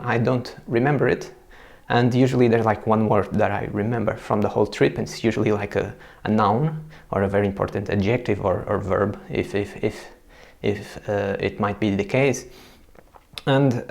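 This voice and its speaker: Gravelly young man. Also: medium pitch. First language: Portuguese. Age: young adult. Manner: hesitant, many fillers and restarts. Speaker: language learner and video host. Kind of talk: casual explainer to camera. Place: small room indoors.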